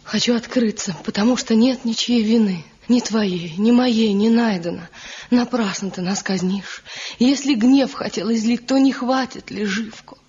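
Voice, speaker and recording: An actress in a 1970s radio play voicing a village wife torn between husband and former love, earnest and sorrowful. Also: female voice, a little high, normal speed, a little mellow, lightly acted. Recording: dull lo-fi old radio recording.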